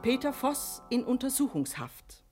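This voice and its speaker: A woman announcing radio drama titles in a scripted style, with loud music behind her. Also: high-pitched, big pitch swings, unhurried.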